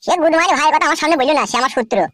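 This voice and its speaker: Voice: high voice, very fast, background noise, natural voice. A voice actor playing a heartbroken, irritable village man in a comedy cartoon, complaining gloomily. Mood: bitter. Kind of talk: amateur Bengali comedy cartoon dialogue.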